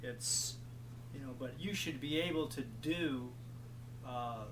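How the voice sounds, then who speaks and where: Man, late fifties, average pitch, halting explanatory answers, informal interview, noticeable hum. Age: late 50s. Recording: clear background hum and noise.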